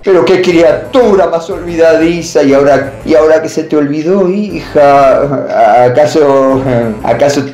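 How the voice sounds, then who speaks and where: Man acting a slow, echoey, pompous priest. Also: fairly high pitch, a little mellow, natural voice. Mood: scolding, teasing. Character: pompous, preachy male priest, fussing and teasing. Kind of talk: radio comedy acting. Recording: roomy echo, faint music.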